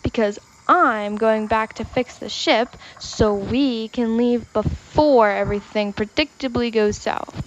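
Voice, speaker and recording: Woman as a slow, sarcastic spaceship engineer. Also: on the high side, muffled recording, slight background noise, natural voice. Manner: dry, sarcastic. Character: sarcastic, weary female starship engineer. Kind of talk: sci-fi comedy radio acting.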